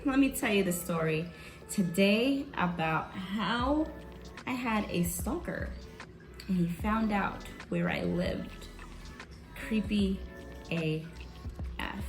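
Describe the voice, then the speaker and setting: A woman's voice: medium pitch, lively intonation, slow, pauses often, roomy sound, over music. Chatty New woman telling a story. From South Bronx, New York. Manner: chatty, candid, swears casually. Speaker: vlogger. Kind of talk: casual storytime chat.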